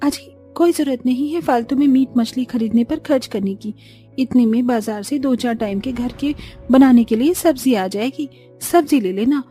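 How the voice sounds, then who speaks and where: A woman's voice: high-pitched, normal speed, dark tone, faint music behind, close to an everyday voice. A woman voicing a thrifty, nagging daughter-in-law in a cartoon family story. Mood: scolding. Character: thrifty, scolding daughter-in-law of a poor household. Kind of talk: Hindi cartoon story acting.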